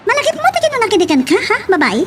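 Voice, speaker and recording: A woman's voice: very high voice, sing-song, racing. A woman playing the boss's spoiled, snobbish young daughter in an Ilocano audio drama, pouty and exclaiming. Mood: haughty. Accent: Ilocano Filipino. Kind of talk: amateur audio drama dialogue. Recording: slightly distant, faint background noise.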